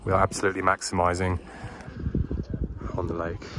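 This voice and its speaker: A man's voice: deep voice, monotone, a little fast. A man, chatting enthusiastically as he films himself at lakes and while driving. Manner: chatty, enthusiastic, many fillers and restarts. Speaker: travel and car vlogger. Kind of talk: casual vlog narration. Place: outdoors by lakes and in a car. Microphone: handheld camera at arm's length. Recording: loud outdoor and car noise.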